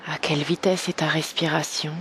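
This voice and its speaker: A woman guiding an evening meditation slowly and gently, in a soft, breathy voice that nears a whisper, with long pauses. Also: low voice, flat delivery, a little hiss or hum. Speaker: meditation guide. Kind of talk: guided evening meditation, yoga nidra.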